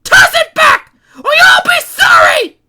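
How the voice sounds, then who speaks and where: A woman's voice: moderate pace, sharp tone. A woman voicing a frantic young woman with claws who shrieks warnings. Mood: screaming. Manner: shrill, screaming warnings.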